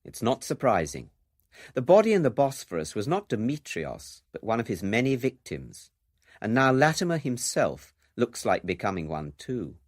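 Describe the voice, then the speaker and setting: A man's voice: mid-range voice, huge pitch swings, normal speed, dry recording, studio quality. A man presenting a scripted radio documentary.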